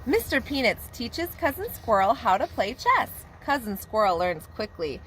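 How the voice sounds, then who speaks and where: A woman preschool teacher reading a picture book aloud to young children in a warm, expressive storytelling manner. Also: fairly high pitch, moderate pace, a little hiss or hum, poor audio.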